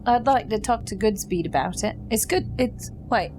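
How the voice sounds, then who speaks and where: A woman's voice: on the high side, expressive, normal speed, background noise. A woman chatting casually as a player in a tabletop game, hesitant and questioning.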